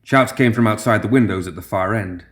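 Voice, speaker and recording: A man's voice: on the low side, fairly quick, fairly bright tone. A man reading a story as a narrator, in a scripted dramatic style. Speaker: audio fiction narrator.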